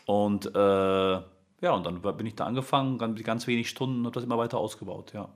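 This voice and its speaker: A low-pitched man talks loosely about his work in a relaxed interview. He rambles, restarts and hesitates, filling gaps with ums. He sounds like a teacher chatting among friends. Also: a little fast. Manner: rambling, many fillers and restarts. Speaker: music and Dutch teacher, guest. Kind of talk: casual conversational interview.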